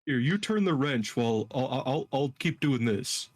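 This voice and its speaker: A man's voice: medium pitch, expressive, normal speed, no echo, clean studio sound. A man playing a tabletop game, joking around. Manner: joking, laughing. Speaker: player. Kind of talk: casual tabletop play.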